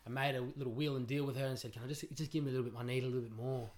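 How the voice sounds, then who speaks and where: A man's voice: medium pitch, steady tone, very fast, a crowd in the background. A young Australian man on a podcast, telling a jokey story in casual chat into a studio mic. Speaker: podcast guest, footballer.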